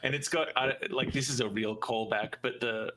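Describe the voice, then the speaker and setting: Male podcast host, bright forward voice, mid pitch, informal fan chat. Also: fairly even intonation, normal speed. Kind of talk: casual chat about movies and games.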